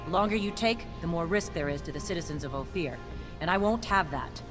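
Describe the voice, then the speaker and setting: An actress as a ruthless army captain in a video game. Her voice is low, and she issues clipped, impatient commands with total authority. Loud music plays underneath. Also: expressive, brisk, close to an everyday voice. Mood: stern, urgent. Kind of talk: video game dramatic acting.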